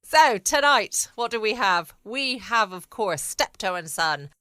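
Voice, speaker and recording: A woman with a southern English accent hosts a chatty solo podcast in a rambling, informal way. Her voice is bright and medium-pitched, recorded close and dry. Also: lively intonation, unhurried, clean tone, no echo. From United Kingdom. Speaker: podcast host. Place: at home, dry close room.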